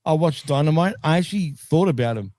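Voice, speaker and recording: Mellow laid-back wrestling co-host man. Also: on the high side, expressive, quick pace, no room sound. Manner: laid-back, laughs often, swears casually. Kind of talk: casual chat.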